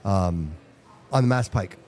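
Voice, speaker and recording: A man whose first language is Portuguese, hosting a casual chat and talking in quick, animated bursts while repeating words for emphasis. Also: low voice. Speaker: host.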